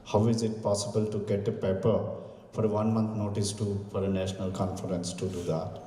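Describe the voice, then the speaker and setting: Low, dark-toned man addressing a hall. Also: level tone, fairly quick. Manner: ceremonious, lists dignitaries. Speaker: university faculty member giving welcome address. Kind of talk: formal welcome speech. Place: large conference hall. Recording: echoey hall, distant mic.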